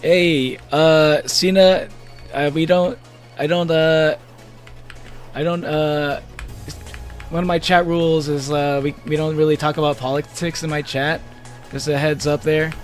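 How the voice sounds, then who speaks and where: A man chats casually with his viewers while he plays a game on stream. His voice sits a little high for a man, and he rambles, restarts his sentences and laughs often. Faint game music plays behind him. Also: little pitch movement, measured pace, pauses often. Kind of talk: casual live-stream chat while gaming.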